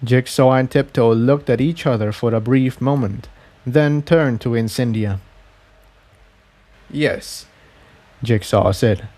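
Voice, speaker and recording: A man's voice: medium pitch, a little slow, dark tone. A man reading scripted fiction as an audiobook narrator.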